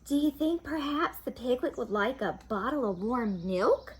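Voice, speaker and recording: A woman's voice: on the high side, animated, moderate pace, voice pushed up, natural voice. A woman voicing a kindly, eager, helpful younger sister in a storybook.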